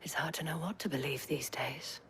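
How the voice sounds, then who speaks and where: Noblewoman: low register, refined, wry, composed, fantasy game drama. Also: a bit bright, slight background noise. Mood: wry, poised. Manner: refined, measured, flirtatious wit. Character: poised noble lady of a town, wry and grateful. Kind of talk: video game dramatic acting.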